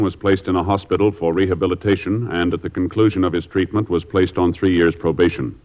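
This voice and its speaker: Formal deep-voiced 1950s radio announcer. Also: male voice. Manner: measured, formal, matter-of-fact reading. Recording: dull lo-fi 1950s radio transcription over loud music.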